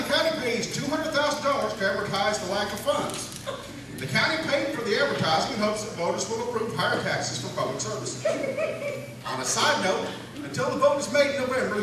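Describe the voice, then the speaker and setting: A man playing a folksy, deadpan small-town radio newsreader in a comic news sketch, with announcer-like delivery. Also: high-pitched. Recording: roomy echoing stage, distant mic, noisy.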